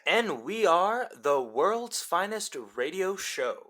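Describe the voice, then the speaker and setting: A man playing an excitable young college radio host, telling stories emphatically with dramatic pauses in an audio drama. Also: high-pitched, full character voice. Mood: upbeat, showy. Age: young adult.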